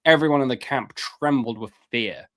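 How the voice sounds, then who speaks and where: Guy on a stream reading bible verses then riffing on them, kinda hoarse, clears his throat, gets fired up. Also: normal speed, fairly bright tone. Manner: reads scripture aloud then comments, rises in pitch when fervent; casual asides, repeats words, clears throat. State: voice going hoarse. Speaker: streamer teaching on scripture. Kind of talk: live-stream Bible teaching, reading passages aloud.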